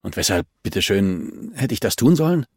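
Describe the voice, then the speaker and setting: A man's voice: lowish voice, big pitch swings, normal speed, a little mellow, slightly rough, no room sound, studio quality, full character voice. A man voicing an arrogant, cornered male murder suspect in a crime audiobook, snapping with defiant indignation. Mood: bitter.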